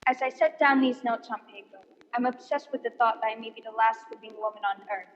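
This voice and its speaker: A woman's voice: a little high, fast, close to an everyday voice. A woman playing a weary, haunted professor and lone survivor in a radio play monologue. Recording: reverberant hall, dull stage recording.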